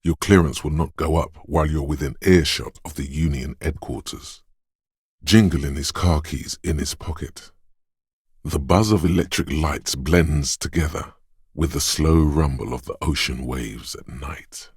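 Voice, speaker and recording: An actor voicing a watchful inner perception voice in a game, speaking slowly and deliberately in a hushed tone. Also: male voice, no echo, studio quality, heavily acted. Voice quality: deep husky voice. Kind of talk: game voice-over lines.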